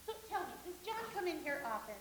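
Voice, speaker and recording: A woman's voice: extremely high pitch, big pitch swings, quick pace, a bit bright, exaggerated voice. A woman playing a vain, starry-eyed young stage actress who gushes naively in a staged play reading. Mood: dreamy. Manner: gushing and naive, with giggles. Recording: echoey room.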